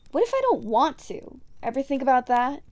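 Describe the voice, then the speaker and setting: Woman acting a smug, mellow-voiced female supervillain. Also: high-pitched, big pitch swings, fairly quick. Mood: exasperated, bitter. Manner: teasing, drawling, sardonic, casually profane. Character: smug, sarcastic female supervillain taunting a hero. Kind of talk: ASMR roleplay audio drama monologue.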